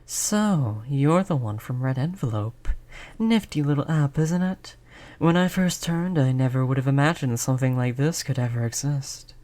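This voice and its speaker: A performer voicing a polite vampire who looks like a child, gentle, reassuring and chatty in an audio roleplay. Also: mid-range voice, normal speed, natural voice. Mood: welcoming. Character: polite vampire turned young, stuck looking like a child. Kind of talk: audio roleplay spoken to the listener.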